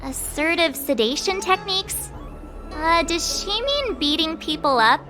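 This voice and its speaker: Very high, perky teenage girl. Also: measured pace, over music. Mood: puzzled, wry. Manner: chatty, candid, expressive. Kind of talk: video game voice acting.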